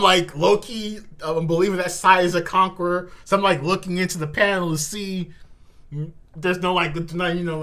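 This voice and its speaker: A host giving an animated, slangy live reaction in casual commentary, repeating words and exclaiming. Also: medium pitch. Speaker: host of a manga reaction channel.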